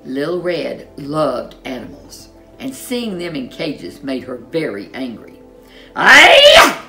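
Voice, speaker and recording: A woman narrating a children's story in an expressive, dramatic storyteller's manner. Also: extremely low pitch, slow, soft background music.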